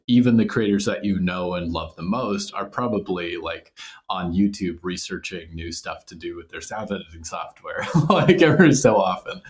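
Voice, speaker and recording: A man chatting casually as an interview guest about his creative work, in an informal, reflective, halting manner. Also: deep voice.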